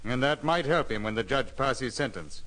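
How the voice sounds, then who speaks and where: A man plays a stern sea captain in a storybook record drama. His medium-pitched voice carries authority, with measured but expressive phrasing. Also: natural voice, low-quality recording.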